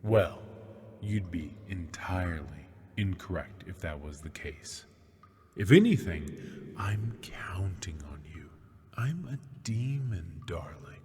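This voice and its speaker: A man playing a seductive, taunting demon prisoner in an ASMR roleplay, husky and breathy, teasing in mock-sweet tones and often sinking toward a whisper. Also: sits low, lively intonation, a little mellow, full character voice. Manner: slow, teasing, mock-sweet, lingering on words. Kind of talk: ASMR roleplay, scripted monologue. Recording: long hall-like reverb.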